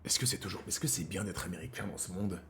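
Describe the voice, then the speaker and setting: A man's voice: low voice, fairly even intonation, fast, slight background noise. Slangy thirty-something man chatting on stream. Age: 30s. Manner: slangy, rambling, addresses viewers as brothers; swings from heated rants to quiet musing. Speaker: streamer host. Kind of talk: casual live-stream chat to viewers.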